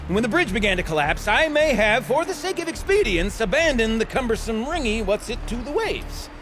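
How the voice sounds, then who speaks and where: An actor playing a chatty, eccentric older treasure hunter in a video game, with verbose, flowery, theatrical phrasing. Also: male voice, extremely high pitch, very animated, brisk, a bit bright, a little hiss or hum, full character voice. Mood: rambling, sheepish. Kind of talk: video game character acting.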